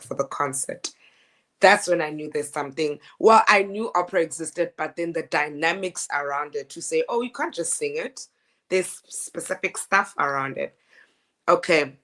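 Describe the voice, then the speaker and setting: A woman with a deep speaking voice, an opera singer telling her story in a lively, laughing, stop-start way. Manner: animated, laughing storyteller with frequent restarts. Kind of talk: casual interview chat.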